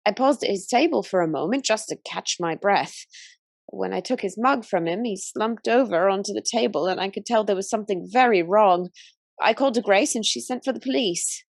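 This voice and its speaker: A woman's voice: medium pitch, brisk, vocal fry, no echo. A woman playing an earnest, chatty young Edwardian waitress in a radio play, telling things in careful detail. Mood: earnest recounting.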